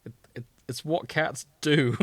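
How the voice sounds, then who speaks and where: A man's voice: on the high side, measured pace, a bit bright, no echo. A man co-hosting a podcast, chatting casually and riffing on stories with dry, teasing humour. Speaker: podcast co-host. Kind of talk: casual chat, reading and riffing on fan fiction.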